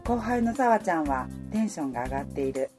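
A woman playing the wistful heroine of a radio romance, a female apparel worker narrating her own love story in a soft, reflective way. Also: low voice, big pitch swings, fast, soft background music. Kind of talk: radio drama narration and dialogue.

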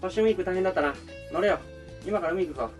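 A man playing a pushy, confident, cheerful young man in a radio drama, speaking in casual, commanding rough masculine language. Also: high voice, racing, close to an everyday voice. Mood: pushy, breezy. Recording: distant-sounding voice over music.

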